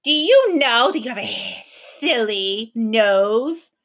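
A woman voicing a mob of spiteful swamp creatures that taunt and bawl loudly, reading a storybook aloud. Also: on the high side, big pitch swings, slow pace, heavily acted. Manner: taunting, bawling, whiny.

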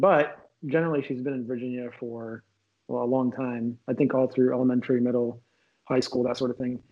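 A man's voice: mid-range voice, lo-fi sound. A man in his late thirties, born in Lebanon, talking as an interview guest in a casual, rambling way, restarting and correcting himself. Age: late 30s. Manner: rambling, restarts mid-sentence, self-correcting.

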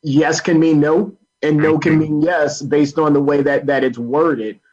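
An American man giving a political interview as a guest advocate, explaining haltingly and repeating words as he goes. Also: a little high, fairly even intonation, darkish tone, lo-fi sound. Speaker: guest, labor council political director.

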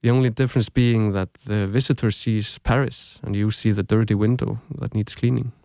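A man's voice: lowish voice, steady tone, moderate pace, vocal fry, mellow, no room sound. Young Icelandic man, dry close podcast voice. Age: young adult. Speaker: podcast host. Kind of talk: scripted podcast narration.

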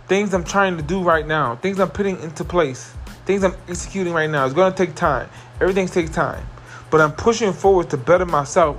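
Earnest motivational man, carrying voice. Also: sits high. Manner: earnest, repetitive, building to excited emphasis. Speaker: podcast host. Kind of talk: motivational solo podcast monologue.

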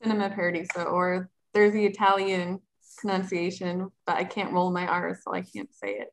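A woman's voice: on the low side, flat delivery, moderate pace. Chatty woman on a movie podcast. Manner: chatty, nostalgic, filler-heavy. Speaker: podcast co-host. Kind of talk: casual movie discussion.

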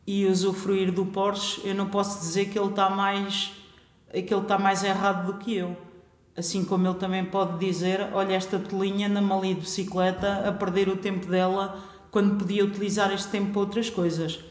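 A woman from Portugal with a European Portuguese accent, a guest talking about her travels in a relaxed, thoughtful interview. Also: medium pitch. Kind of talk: casual interview chat. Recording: echoey room with long reverb.

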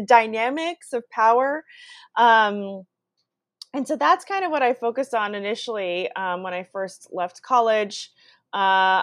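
A woman raised in the US and born in Brazil, a guest answering interview questions thoughtfully, with frequent pauses and restarts. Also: medium pitch, dry recording, studio quality.

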